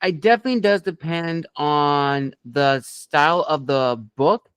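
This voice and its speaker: A man hosting an interview with a narrator, affirming and measured as he asks questions. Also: a little high, a bit bright, clear, resonant tone, no room sound, clean studio sound. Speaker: podcast host.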